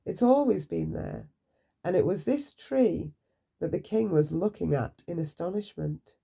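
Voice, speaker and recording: A woman narrating a children's bedtime story aloud, with deliberate, expressive phrasing for young listeners. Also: on the low side, vocal fry, husky.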